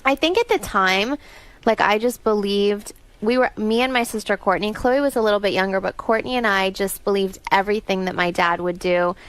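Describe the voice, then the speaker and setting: A woman's voice: medium pitch, brisk, a bit bright, clean tone, no room sound, poor audio. A woman guest on a radio interview, telling her family story in a candid, thoughtful way. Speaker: celebrity guest. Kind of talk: casual interview chat. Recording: radio studio.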